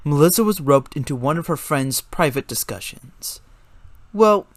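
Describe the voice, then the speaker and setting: A man's voice: medium pitch, dry recording. A man reading scripted story narration as a dramatic reading.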